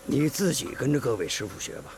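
An actor playing a firm, hearty male factory team leader with a commanding, gravelly delivery in a radio drama. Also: medium pitch, big pitch swings, fast talker, a little hiss or hum. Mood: brusque. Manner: commanding, no-nonsense.

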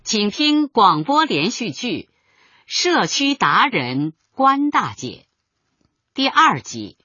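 A woman's voice: on the high side, sing-song, slow, pushed and bright, breathy edge, no room sound, highs cut off. A woman announcing a radio drama in a scripted title read.

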